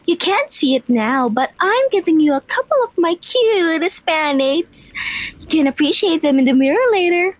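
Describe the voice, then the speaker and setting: A woman's voice: very high-pitched, lively intonation, normal speed, dry recording. A woman playing a playful, girlish, hungry vampire mistress in an ASMR roleplay, bubbly and informal. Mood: gleeful, hungry.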